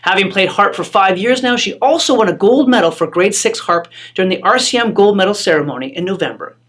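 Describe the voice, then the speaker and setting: School newsletter narrator, formal, measured read. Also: mid-range voice, expressive, a bit bright. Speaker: newsletter presenter. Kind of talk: scripted announcement narration.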